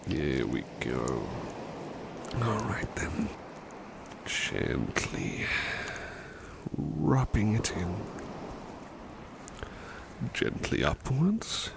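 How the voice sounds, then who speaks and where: Man playing a deep, gentle, devoted butler. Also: sing-song, drawn-out words, gravelly, long pauses, noisy background, heavily acted. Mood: soothing, caring. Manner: gentle, soothing, courteous, murmured repetitions, often dropping to whispers. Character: devoted, tender, polite male butler servant. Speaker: ASMR roleplay performer. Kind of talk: soft-spoken ASMR personal attention roleplay.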